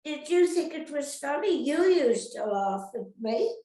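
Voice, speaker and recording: Slow-talking older woman with a high voice. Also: very animated, mellow. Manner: rambling, halting, often questioning her listeners. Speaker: retired actress being interviewed. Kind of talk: casual reminiscing interview. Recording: roomy, echoey sound.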